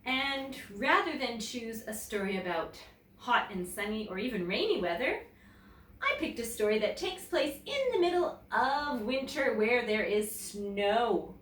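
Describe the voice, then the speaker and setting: A woman reads a children's story like a teacher addressing young listeners. Her delivery is slow and deliberate, with lively swings in pitch. The recording is roomy, a little distant from the mic, with faint noise. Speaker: teacher reading a story aloud. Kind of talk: animated children's picture-book read-aloud.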